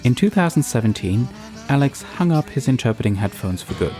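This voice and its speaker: Guy doing a podcast intro, guitar playing loud behind him. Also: mid-range voice, sing-song, a little fast. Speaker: podcast host. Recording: intro read over guitar music. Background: acoustic guitar music.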